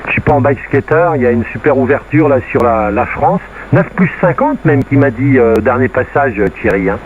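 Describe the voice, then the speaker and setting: A man's voice: mid-range voice, animated, fairly quick. Man on a tinny radio link. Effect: two-way radio transmission. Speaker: amateur radio operator. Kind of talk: casual radio contact chat. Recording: narrow, noisy radio link.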